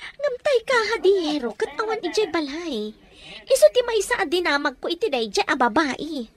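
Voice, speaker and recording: A bossy, cruel villainess in a radio drama, played by a woman. Her piercingly high voice swoops with scorn as she gives orders. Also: big pitch swings, moderate pace. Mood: scheming, sharp. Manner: scornful, commanding. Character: cruel, bossy female villain holding a captive. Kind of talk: Ilocano radio drama acting.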